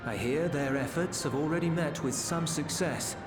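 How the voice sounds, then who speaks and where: An actor playing a calm, compassionate and resolute warrior in a fantasy video game, speaking sincerely and a little formally. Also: male voice, fairly high pitch, lightly acted. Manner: measured, sincere, slightly formal. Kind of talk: dramatic video game cutscene acting. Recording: echoey, with music behind. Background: music.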